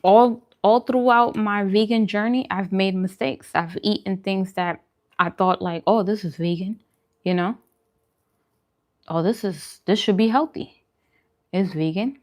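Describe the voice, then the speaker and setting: A woman's voice: mid-range voice, steady tone, unhurried, darkish tone. A woman food vlogger talking casually and reflectively to her viewers in a conversational, encouraging way. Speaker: vegan food vlogger and host. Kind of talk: casual mukbang chat to camera.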